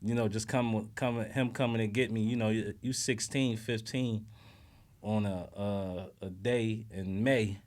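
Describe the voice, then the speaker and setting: A man's voice: on the low side, fairly even intonation, unhurried, darkish tone. A man with a Philadelphia accent talking casually as an interview guest, in slangy speech peppered with "you know" tags. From South Philadelphia, US. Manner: slangy, frequent "you know what I'm saying".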